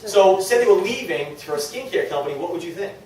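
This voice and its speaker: A man's voice: sits high, expressive, fast talker, darkish tone. A man with a New England accent gives an informal motivational talk to a small group, conversational and self-deprecating, often checking in with his listeners. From New England, United States. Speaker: speaker at a direct-sales team meeting. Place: echoey indoor meeting room with an audience. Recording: very echoey room, distant mic, faint noise.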